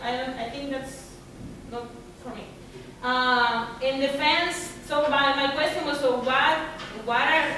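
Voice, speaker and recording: A woman from Argentina answering audience questions at a symposium, pausing often and searching for words. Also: on the high side, noisy background. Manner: halting, searching for words, many fillers. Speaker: artist at a symposium. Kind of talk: reflective Q&A answer. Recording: echoey lecture room from far off.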